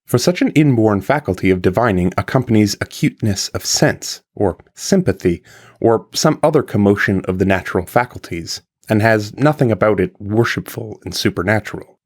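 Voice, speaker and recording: A man with a fairly low voice reads a scripted, old-fashioned philosophical text. His delivery is formal and carefully measured, with emphatic phrasing. The pitch rises and falls widely as he moves through long sentences. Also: expressive. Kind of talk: scripted audiobook-style reading of an archaic philosophical translation.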